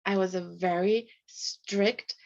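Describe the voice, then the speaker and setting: A middle-aged woman, a life coach, speaking softly in a casual video call interview, with light laughs. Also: medium pitch, steady tone, slow pace. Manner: soft-spoken, often laughs lightly. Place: home room. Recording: slightly dull video call audio.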